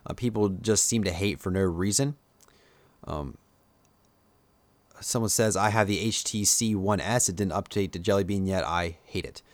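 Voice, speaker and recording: A man with a Pennsylvania Dutch accent talks to his viewers in a low-pitched voice, rambling loosely with plenty of "um"s and "like"s. He shares strong opinions in a relaxed, off-the-cuff way. The sound is close and dry, with no room around it. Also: moderate pace, pauses often, no room sound. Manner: rambling, filler-heavy, opinionated. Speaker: YouTuber. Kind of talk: casual live-stream commentary.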